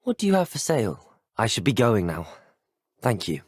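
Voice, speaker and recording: Casting: young student wizard, game hero, polite and inquisitive, husky low voice with lively swoops. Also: male voice, moderate pace, dry recording, clean studio sound. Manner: polite, well-spoken, earnest. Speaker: player character in a video game. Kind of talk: video game voice lines.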